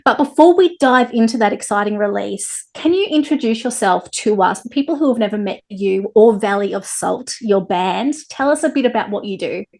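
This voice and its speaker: A woman's voice: mid-range voice, lively intonation, moderate pace, gravelly, few pauses, darkish tone. A woman with an Australian accent hosting a conversational interview in an effusive, enthusiastic way. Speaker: interviewer, host.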